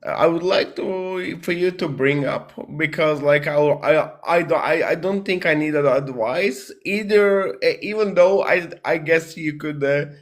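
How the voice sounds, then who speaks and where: A man in his thirties from Croatia, a native Croatian speaker talking English on a video call, earnest and hesitant, with many pauses and restarts. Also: fairly high pitch. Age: 30s. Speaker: caller on a dating show.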